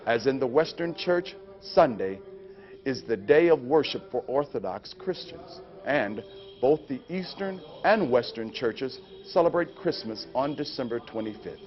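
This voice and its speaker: A man delivers scripted narration in a mid-pitched voice, as a chaplain presenting a training film. The sound is dull and lo-fi, with faint music behind him. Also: lively intonation, measured pace. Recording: dull, lo-fi training video audio.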